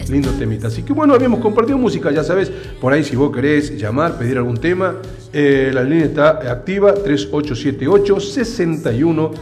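Male radio host, high and lively voice, stuffy from a cold, rambling. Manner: chatty, rambling, repeats himself. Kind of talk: casual local radio chat.

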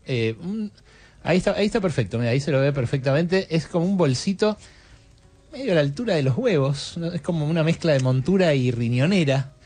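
A man's voice: fairly high pitch, lively intonation, brisk, a little mellow, soft background music. A man hosting a casual streamed interview with an Argentine accent, chatty and jokey, with many fillers. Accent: Argentine (Rioplatense). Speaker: host.

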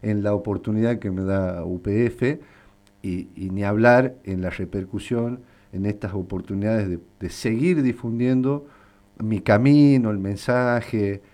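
Singer from Catamarca, Argentine Catamarca accent, low voice, unhurried and hesitant, radio interview guest. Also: male voice, warm tone. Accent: Catamarca Argentine Spanish. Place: radio studio.

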